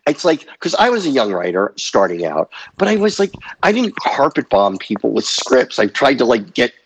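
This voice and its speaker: A man in his fifties, a guest comedy writer, chatting casually on a podcast with halting restarts and dry self-deprecating humor. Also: mid-range voice, sing-song, slightly rough, low-quality recording. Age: 50s.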